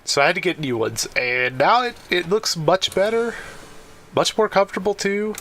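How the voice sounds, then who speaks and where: A man's voice: high-pitched, expressive, moderate pace, a bit bright, a little hiss or hum. A man giving casual, rambling, sweary gameplay commentary as a gaming host on a headset. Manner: laid-back, rambling, sweary. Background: faint game music.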